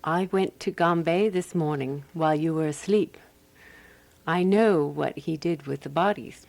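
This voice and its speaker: An actress playing a calm, practical woman detective in a radio drama, telling her case in a composed, deliberate way. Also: low-pitched, creaky. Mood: calm, assured. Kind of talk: radio drama dialogue and first-person narration.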